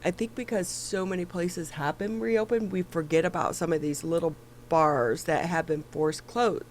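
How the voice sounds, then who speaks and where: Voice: mid-range voice, moderate pace, slight background noise. Stuffy-nosed talk show host. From Michigan. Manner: chatty, with frequent fillers and restarts. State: stuffy nose. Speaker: news program host. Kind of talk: casual news discussion.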